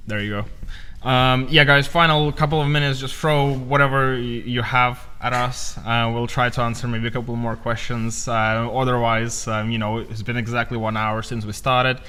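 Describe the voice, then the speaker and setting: A man born in Estonia with Russian as his first language, hosting a livestream Q&A in a relaxed way with many ums. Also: medium pitch, moderate pace, hardly any pauses, clear, resonant tone. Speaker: livestream host. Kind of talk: casual livestream Q&A.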